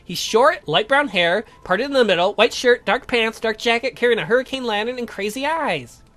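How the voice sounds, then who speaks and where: A woman's voice: on the high side, expressive, normal speed, a bit bright. A woman playing eager, chatty young girl cousins in a horror visual novel. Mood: urgent, chatty. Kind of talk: performed visual novel reading.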